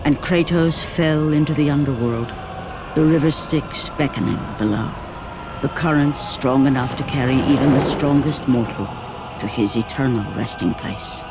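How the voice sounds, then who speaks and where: A man's voice: mid-range voice, moderate pace, music in the background, natural voice. A man voicing a grave, ominous storyteller, narrating a Greek myth for a video game in a solemn, portentous way. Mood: epic, foreboding. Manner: solemn, portentous, hushed phrase endings. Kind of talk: dramatic scripted game narration.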